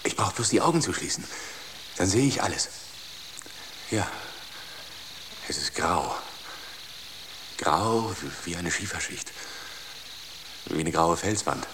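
Deep-voiced, rapturous visionary. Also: male voice, expressive, slow, pauses often. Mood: rapt. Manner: incantatory, emphatic, repetitive. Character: visionary, obsessed male artist, once a theoretician, rapturous. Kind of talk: radio drama acting. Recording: clear background noise.

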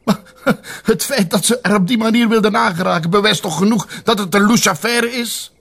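Husky, agitated middle-aged Flemish father. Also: high-pitched, normal speed, a bit bright, heavily acted. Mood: indignant. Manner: accusing, insistent. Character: stern, agitated father confronting his adult son. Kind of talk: naturalistic radio drama acting.

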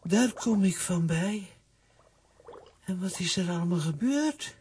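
A tender little talking coffee pot acted by a woman from a children's audio play. The voice is slow and halting, full of sentimental sighs, with faint noise behind it. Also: on the low side, expressive, mellow. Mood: weak, dazed. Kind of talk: children's audio drama acting.

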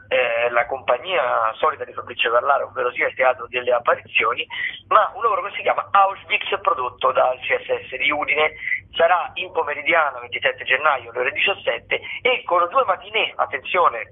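A man, a regular radio critic, informally presenting event listings in a halting, wordy, digressive way over the phone. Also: medium pitch, breathy edge. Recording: muffled, narrow-band phone line.

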